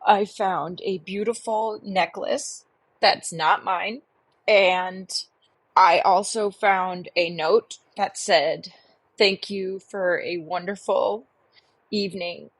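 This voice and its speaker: An anxious, prim woman caller, acted by a woman on a comic radio advice show. Her voice is soft and husky-breathy, and she talks very slowly with earnest, dramatic hesitation. The sound is close and dry. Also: medium pitch, steady tone, no room sound. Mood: worried.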